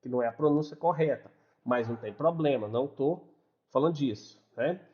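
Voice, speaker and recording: A man's voice: mid-range voice, fairly quick. A man from Brazil with a Brazilian Portuguese accent, explaining a lesson in a casual, chatty way and checking in with listeners after nearly every sentence. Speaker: English teacher. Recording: dull lo-fi recording.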